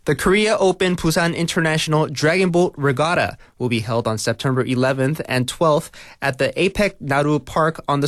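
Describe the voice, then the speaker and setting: A man reading a scripted local news bulletin in a measured, formal way. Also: fairly high pitch, no room sound, studio quality. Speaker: news reader.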